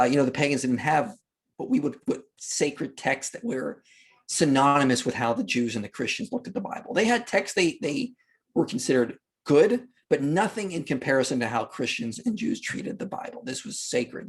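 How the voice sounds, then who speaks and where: A man's voice: mid-range voice, animated, fairly quick, breathy edge. A man speaking as a podcast guest, explaining history and religion in a conversational way and repeating phrases for emphasis.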